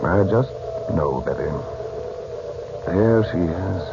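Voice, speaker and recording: Mystic uncle in old radio serial, lowish steady voice, in charge, muffled hissy sound. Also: male voice, takes it slowly, gravelly, full character voice. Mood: hushed, commanding. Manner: composed, authoritative, reassuring. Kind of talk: 1940s radio acting.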